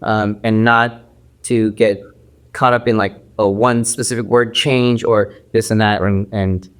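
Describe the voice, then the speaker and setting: A man's voice: fairly low pitch, steady tone, a little slow, clear, resonant tone. A man guest on a podcast who talks in a loose, rambling way, with many fillers and restarts. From United States. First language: Mandarin. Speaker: actor guest. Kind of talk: casual interview talk.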